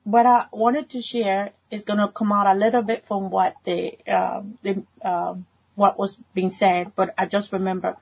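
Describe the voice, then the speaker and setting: Husky native-Spanish woman giving testimony. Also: mid-range voice, flat delivery, normal speed. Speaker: church member sharing a testimony. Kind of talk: spontaneous personal testimony. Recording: muffled lo-fi audio.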